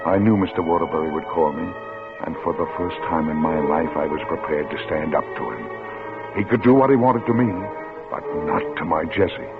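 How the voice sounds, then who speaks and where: A man's voice: rumbling low, brisk. An actor playing a timid middle-aged bookkeeper who narrates his own story, hesitant and stammering, in old-time radio drama style. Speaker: narrator and lead. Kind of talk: 1940s radio acting. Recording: tinny old radio broadcast with music.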